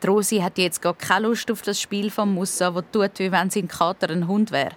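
A woman's voice: medium pitch, steady tone, normal speed. A woman with a Swiss accent narrating a scripted audio story for children.

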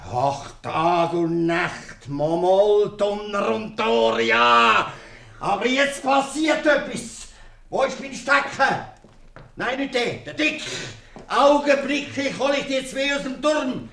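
A man's voice: high-pitched, lively intonation, slow, gravelly, a bit bright, full character voice. A man playing a hot-tempered, indignant father with a Swiss German accent, cursing and commanding in a 1950s radio comedy. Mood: furious, commanding. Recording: echoey old radio studio recording.

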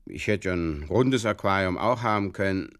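A man playing an earnestly muddled Bavarian fishkeeper in a halting, circuitous comic radio monologue, with a Bavarian accent. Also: low-pitched, lively intonation, fairly bright tone, smooth, dry recording, clean studio sound. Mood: fumbling, earnest. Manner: halting, circuitous, literal-minded, over-explaining. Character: rambling, earnestly muddled Bavarian fishkeeper telling absurd tales.

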